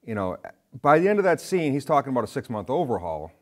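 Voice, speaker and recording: A middle-aged man chatting casually on a film show in a rambling, halting way, often interrupting himself. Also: mid-range voice, big pitch swings. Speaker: film discussion show panelist. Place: indoor studio with curtains, seated.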